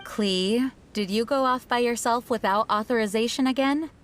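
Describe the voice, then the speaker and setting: A woman's voice: on the high side, moderate pace, lightly acted. A woman voicing a composed, caring young knight commander in a video game. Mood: concerned, gentle. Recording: clear music behind the voice.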